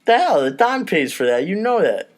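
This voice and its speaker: Man playing an indignant, raised-pitch petty mobster. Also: very animated, normal speed. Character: petty, sore 1930s mobster squabbling over money.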